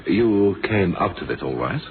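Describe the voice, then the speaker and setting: Radio drama part: dry, courteous, needling police inspector, expressive intonation, tinny old broadcast. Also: male voice, low voice, moderate pace. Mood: dry, polite. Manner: dry, understated, questioning. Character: dry, probing, politely barbed male police inspector. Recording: noisy, thin phone-like old radio recording.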